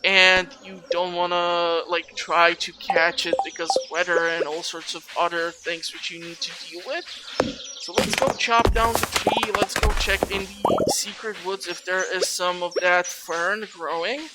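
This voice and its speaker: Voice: mid-range voice, steady tone, unhurried, loud music behind the voice. A gameplay host giving casual let's play commentary, rambling and thinking aloud. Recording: loud background noise, clicks.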